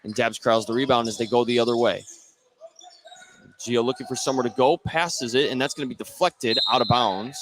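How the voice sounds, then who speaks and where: A man's voice: medium pitch, brisk, fairly bright tone, slight background noise. A man doing live radio play-by-play of a basketball game, describing the action in short clipped phrases. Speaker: play-by-play sports commentator. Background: basketball game with bouncing ball.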